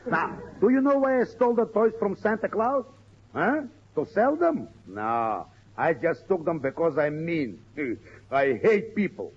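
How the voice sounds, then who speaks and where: Casting: blustering wicked pirate captain, high animated voice, old radio comedy. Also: male voice, unhurried, breathy edge, full character voice. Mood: boastful glee. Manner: theatrical, gloating villainous delivery. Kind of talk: 1940s radio comedy acting. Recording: noisy, dull old radio recording.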